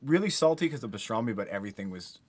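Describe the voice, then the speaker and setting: A man's voice: lowish voice, lively intonation, rapid-fire. A man on a podcast panel, telling things informally in casual, slangy chat.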